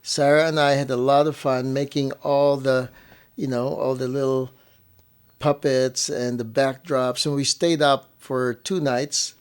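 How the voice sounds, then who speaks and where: A man's voice: mid-range voice, level tone, a little slow, clear, resonant tone. Man chatting casually, repeating himself for emphasis. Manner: repeats and rephrases phrases for emphasis. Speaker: parent co-hosting a family show. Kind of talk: casual conversational storytelling.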